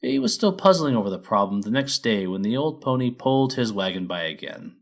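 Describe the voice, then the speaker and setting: A man's voice: mid-range voice, very animated, a little fast. A man reading a children's fantasy story as narrator, in scripted storybook narration.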